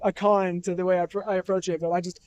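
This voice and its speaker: Fast-talking young man, smooth and bright. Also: sits high, little pitch movement, no room sound, highs cut off. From New Hampshire, US. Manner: thoughtful, rambling with many restarts and fillers. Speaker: musician guest. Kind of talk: casual interview. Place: outdoor music festival.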